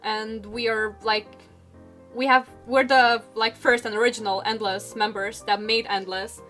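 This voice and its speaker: Young woman, Lithuanian native, bright voice, a bit high, chatty, faint music behind. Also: fairly even intonation, measured pace. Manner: chatty, upbeat, uses "like" a lot. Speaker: dance group member. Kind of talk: casual group chat.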